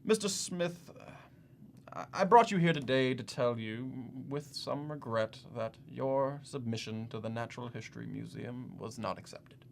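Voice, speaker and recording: An actor playing an awkward, apologetic yet condescending museum official in a comic monologue, with a hesitant, rambling manner. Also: male voice, fairly high pitch, very animated, unhurried, a little mellow, slight background noise. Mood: apologetic, hedging. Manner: hesitant, stammering, rambling, self-correcting.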